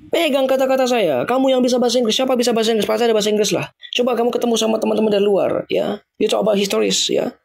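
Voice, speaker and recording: A young Indonesian man talks informally to his livestream viewers, repeating his points and mocking the other side. His voice sits high and carries a gravelly rasp. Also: steady tone, very fast. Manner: emphatic, repetitive, mocking.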